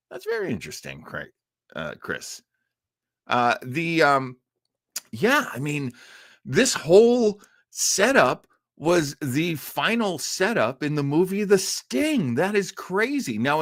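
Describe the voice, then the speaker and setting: A man, hosting a livestream with casual, halting, emphatic commentary. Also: a little high, fairly bright tone, no room sound, clean studio sound. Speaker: livestream host. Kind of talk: casual rambling live commentary.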